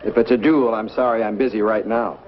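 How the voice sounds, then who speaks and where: A man's voice: fairly low pitch, steady tone, a little fast, natural voice. A man playing a dry, sarcastic boss in an old sitcom. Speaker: wisecracking boss. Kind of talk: 1950s sitcom acting. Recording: lo-fi vintage TV audio.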